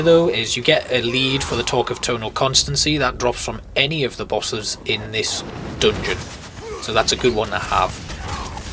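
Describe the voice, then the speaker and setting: Casting: male gaming host, informal guide style, mid-range voice, light music underneath. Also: mid-range voice. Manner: informal, explanatory. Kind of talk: game walkthrough commentary.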